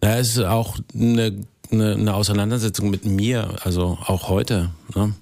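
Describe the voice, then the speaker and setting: A man's voice: lowish voice, fairly even intonation, unhurried, gravelly, a little mellow, no room sound. A man with a native German accent, a casual radio interview guest who searches for his words as he talks. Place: radio studio.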